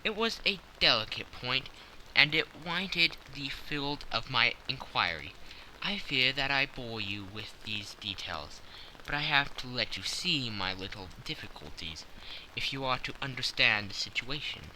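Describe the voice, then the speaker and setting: A man's voice: medium pitch, expressive, a little slow, bright, forceful tone, a little hiss or hum, rough recording. A man narrating a classic story as a scripted, dramatic audiobook.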